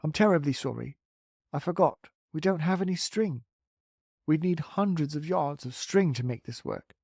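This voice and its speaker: A storybook reader voicing a calm, thoughtful young boy, hesitant at times but firm. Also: medium pitch, moderate pace, slightly rough, dry recording, studio quality, pitched above the performer's own voice.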